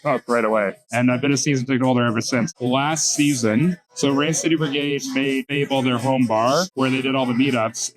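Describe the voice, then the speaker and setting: A man's voice: medium pitch, poor audio. A man in a documentary interview, talking casually about being a season ticket holder, with "like" peppered through his sentences.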